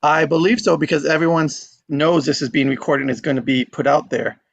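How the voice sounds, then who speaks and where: A man's voice: on the high side, fairly even intonation, a little fast, vocal fry, lo-fi sound. A man hosting an online script reading group, chatting casually.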